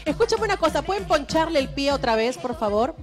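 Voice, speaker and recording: Pushy high-voiced woman TV host. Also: lively intonation, a little fast. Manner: pushy, interrupting questioner. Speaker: TV studio host. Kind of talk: casual live TV chat. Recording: music bed under the voice.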